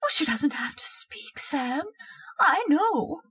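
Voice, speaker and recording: A woman's voice: high-pitched, sing-song, slow, a bit bright, full character voice. A woman playing a bossy, scolding, histrionic wife haunted by an intruder in an old-time radio horror drama. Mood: hushed dread. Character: shrill domineering wife, frightened by a ghostly intruder.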